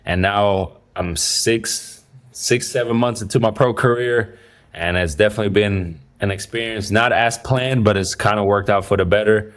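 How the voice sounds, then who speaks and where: Laid-back young man, low voice. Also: moderate pace. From Dominican Republic and Belgium. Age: 20s. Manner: relaxed, rambling, many fillers and restarts. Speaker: professional basketball player, guest. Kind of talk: casual interview answers.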